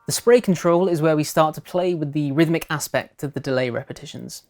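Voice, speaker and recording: A woman presenting a software tutorial in scripted narration. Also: sits low, brisk, faint music behind.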